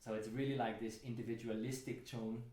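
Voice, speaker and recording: A man's voice: medium pitch, little pitch movement, brisk, mellow, roomy sound. A man hosting a casual, thoughtful book club conversation in a home studio, talking reflectively with many fillers. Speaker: host.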